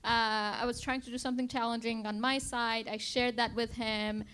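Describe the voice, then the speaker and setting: A middle-aged woman from Iran speaks in a fairly high voice. She tells her story as a founder being interviewed, in a conversational way. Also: level tone, moderate pace, a bit bright, clean tone. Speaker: interview guest, biotech company founder and CEO.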